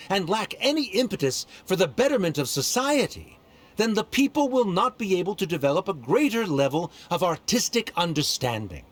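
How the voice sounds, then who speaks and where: A haughty scholar debater. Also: male voice, high-pitched, heavily acted. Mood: lecturing. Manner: lecturing, formal and dismissive. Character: haughty male Academia scholar, condescending debater. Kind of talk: game voice acting.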